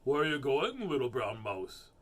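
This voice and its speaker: Man doing a theatrical storybook fox, very animated. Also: mid-range voice, normal speed. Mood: inviting, curious. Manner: theatrical, exclaiming. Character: predatory, inviting fox, easily spooked.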